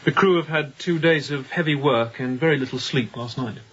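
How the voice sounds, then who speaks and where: A man acting a young, introspective sea captain in a radio drama, speaking in a measured, reflective, self-doubting way. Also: medium pitch, fairly bright tone, natural voice. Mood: quietly firm. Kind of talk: radio drama acting with hushed interior monologue. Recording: dull, lo-fi radio drama recording.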